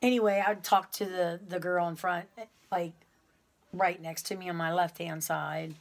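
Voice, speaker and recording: A middle-aged woman with a loud, carrying voice, telling a personal story to her viewers in a rambling, heartfelt way. She fills her talk with "um" and calls her audience "y'all.". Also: lowish voice, level tone, normal speed. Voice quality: loud voice that carries. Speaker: vlogger recounting her time in prison. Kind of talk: casual storytelling to camera.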